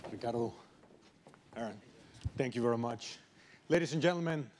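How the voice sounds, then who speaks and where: A man conducting an official tournament draw, presenting in a measured, formal way at a podium. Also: medium pitch, a little mellow.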